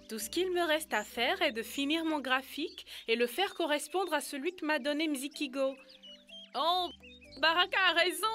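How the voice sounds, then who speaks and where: High-pitched, earnest cartoon girl. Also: bright, forceful tone, exaggerated voice. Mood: realising. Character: helpful, bossy young girl organiser, earnest. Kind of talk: cartoon dub acting.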